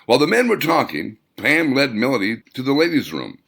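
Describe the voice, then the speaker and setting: A man reading his own novel as an audiobook, with dramatic, expressive storytelling. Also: mid-range voice, fairly quick, vocal fry. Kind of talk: scripted audiobook narration.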